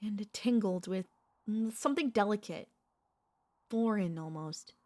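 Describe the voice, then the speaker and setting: A woman voicing a centuries-old vampire mistress, a tender, possessive girlfriend, speaking softly and intimately with courtly wording and hesitant pauses in an ASMR roleplay. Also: medium pitch, no room sound, lightly acted. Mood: possessive, longing. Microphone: close studio microphone, dry.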